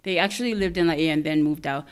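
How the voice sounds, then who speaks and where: Soft-spoken woman guest, smooth low voice. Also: fast talker. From Hawaii. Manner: soft-spoken, thoughtful, laughs lightly. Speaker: podcast guest. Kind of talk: casual interview chat.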